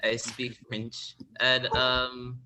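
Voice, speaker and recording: Bright, clear man. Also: medium pitch, monotone, poor audio. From Jamaica. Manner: halting. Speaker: conference participant. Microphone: video call.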